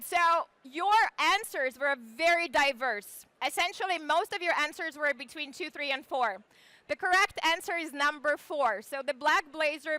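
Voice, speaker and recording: Bright high-voiced woman presenting. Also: no echo. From Ukraine. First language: Ukrainian. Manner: chatty and enthusiastic, with casual fillers. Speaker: startup founder presenting. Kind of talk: live presentation to an audience.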